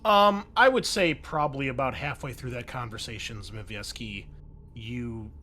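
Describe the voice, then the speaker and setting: A man's voice: mid-range voice, moderate pace, sharp tone, slight background noise. A man narrating a tabletop roleplaying game as game master, explaining things in a conversational way.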